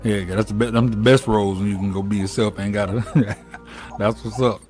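A man's voice: fairly low pitch, steady tone, a little fast, darkish tone. Slangy man hosting, music behind. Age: late 30s. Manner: informal, slangy, repeats phrases. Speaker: interview host. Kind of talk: casual interview chat. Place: indoor room at home, on a video call. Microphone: wired earphones on a video call.

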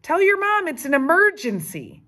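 Storybook schoolgirl played by a woman: excitable, bossy, emphatic, raised pitch, very animated. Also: moderate pace, heavily acted. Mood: excited, indignant. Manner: emphatic, exclaiming.

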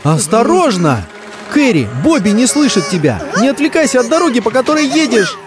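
An excitable, encouraging narrator of a children's cartoon, calling out to the characters with urgency. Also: medium pitch, fairly quick, background noise. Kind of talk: animated, exclamatory storytelling addressed to the characters. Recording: music behind the voice. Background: cartoon music.

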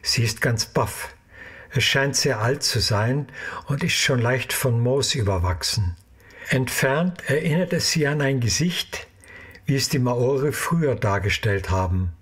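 Male narrator for an audio drama, medium pitch, husky texture, scripted storytelling. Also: expressive, unhurried, fairly bright tone.

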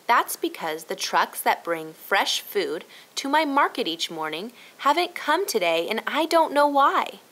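A woman's voice: fairly high pitch, very animated, measured pace, hardly any pauses, fairly bright tone, voice pushed up, exaggerated voice. A woman voicing a friendly, helpful shopkeeper who explains things upbeat and instructively to children in a storybook. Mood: concerned, explaining.

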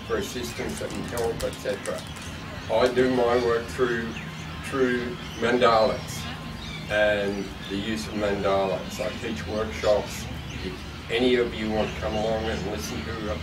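Middle-aged man, workshop teacher, reflective and gently animated, low voice, loud outdoor noise. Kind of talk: short speech to a gathering. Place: open-sided park pavilion outdoors. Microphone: far camera, no mic. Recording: distant outdoor sound with loud ambience and birds.

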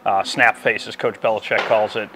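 A middle-aged man co-hosting a casual baseball talk, conversational and often trailing off softly, on a lapel mic in a cluttered equipment room. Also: lowish voice, flat delivery, moderate pace. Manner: conversational, trailing off into softer asides. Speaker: baseball program coach and co-host. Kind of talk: casual podcast chat.

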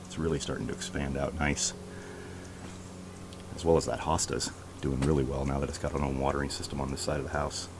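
A man giving a casual walking tour of his backyard garden outdoors, chatty and relaxed as he points things out on a handheld camera. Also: extremely low pitch, brisk, background noise. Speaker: homestead vlogger. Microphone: handheld camera held while walking.

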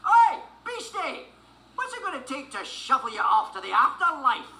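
A woman voicing a grumpy, bold old male duck with a gravelly voice in a storybook read-along. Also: high-pitched, very animated, normal speed, pushed and bright, voice pushed up, heavily acted. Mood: gruff. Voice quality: gravelly, creaky. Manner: gruff, blustering. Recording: roomy sound over music.

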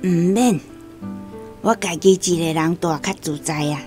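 A woman's voice: on the low side, measured pace, darkish tone, soft background music, lightly acted. An actress playing a stubborn, anxious old grandmother in a radio drama, with a Taiwanese accent and a plaintive, complaining delivery. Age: older.

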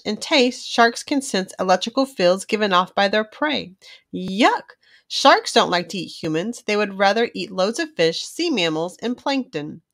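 A woman hosting a read-aloud for young children, reading in an expressive storytelling style. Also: mid-range voice, dry recording, studio quality. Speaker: children's book reader and host.

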